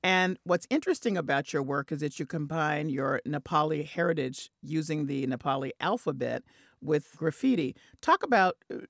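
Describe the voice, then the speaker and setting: A man's voice: on the high side, creaky, fairly bright tone, no room sound, clean studio sound. A man hosting an interview, introducing guests and praising their work with enthusiasm. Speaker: interview host.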